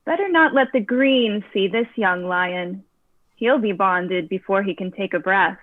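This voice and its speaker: A woman's voice: medium pitch, unhurried, no echo, close to an everyday voice. A woman playing a regal, wry gatekeeper of a magical order in a fantasy table read. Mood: stately, commanding. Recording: thin phone-like call audio.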